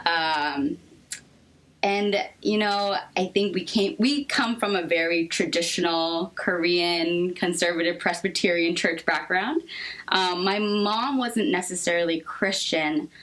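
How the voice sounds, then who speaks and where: A young woman from Seattle, a therapist answering interview questions earnestly, with frequent ums and pauses. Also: fairly low pitch, a bit bright, poor audio. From Seattle, Washington. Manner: hesitant, many ums, earnest. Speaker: guest therapist.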